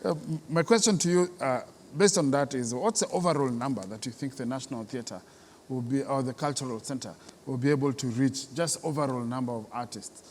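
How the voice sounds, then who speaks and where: A Kenyan man hosts a conversational interview in a medium-pitched voice. He tends to restate and confirm points as he asks questions. The recording is reverberant, with faint noise behind him. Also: a little fast, darkish tone. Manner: restating and confirming what he hears. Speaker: interviewer, host.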